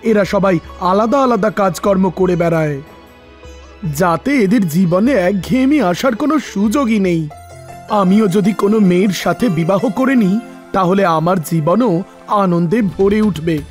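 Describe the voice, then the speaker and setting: An actor voicing a wistful, brooding god of death in a cartoon story, musing in a deliberate manner. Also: medium pitch, faint music behind, close to an everyday voice. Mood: admiring, hopeful. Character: Yamraj, male god of death, wistful, brooding, advising father. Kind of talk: Bengali animated storybook dialogue.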